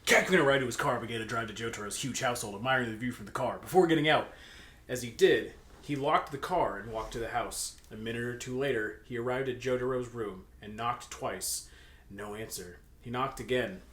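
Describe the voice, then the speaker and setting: Young man, medium pitch, comic narration, casual banter, roomy recording. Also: brisk, fairly bright tone. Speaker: co-reader of fan fiction. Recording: echoey room.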